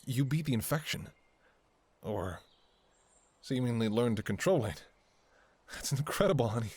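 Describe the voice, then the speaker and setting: Man acting a devoted, anxious lover, huskily expressive. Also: medium pitch, slightly rough, dry recording. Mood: tender, hopeful. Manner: hesitant, halting, emotionally raw. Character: devoted, anxious male doctor pleading with lover. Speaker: audio roleplay performer. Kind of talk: ASMR audio roleplay, intimate dramatic monologue.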